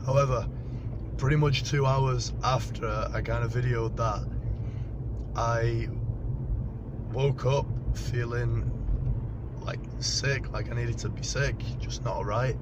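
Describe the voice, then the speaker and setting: A hoarse British man, his voice partly gone, talking through a casual spoken diary in a rambling way with many fillers and the odd swear. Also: medium pitch, fairly even intonation, slow pace, long pauses. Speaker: powerlifter vlogging about a meet. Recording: dull lo-fi recording with clear background noise.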